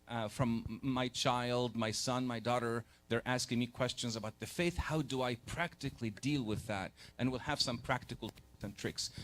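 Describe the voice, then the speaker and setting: A man in his forties, born in Egypt, giving a conversational church lecture on faith and doubt to a live group, often pausing to rephrase. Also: mid-range voice, fairly bright tone, a little hiss or hum. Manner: conversational, pauses to rephrase, takes questions. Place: church hall.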